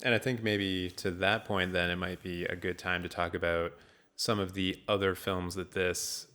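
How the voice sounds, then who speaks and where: Deep, level-voiced male podcast host. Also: little pitch movement, moderate pace, sharp tone, smooth. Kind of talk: casual film discussion.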